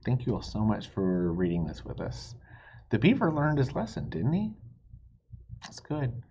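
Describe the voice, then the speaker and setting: A man reads a children's story aloud with big swings in pitch and an unhurried pace. He speaks simply to young listeners and puts questions to them. The recording is a little dull. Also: wildly swooping pitch, darkish tone, a little hiss or hum. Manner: asks the listeners questions, explains words simply. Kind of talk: expressive read-aloud with asides to young listeners.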